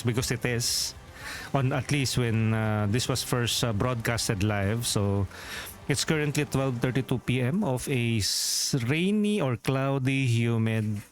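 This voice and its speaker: A man with a Filipino accent chatting casually as a game streamer, rambling along with lots of "uh" fillers and little chuckles. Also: medium pitch. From Philippines. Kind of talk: casual live-stream chat.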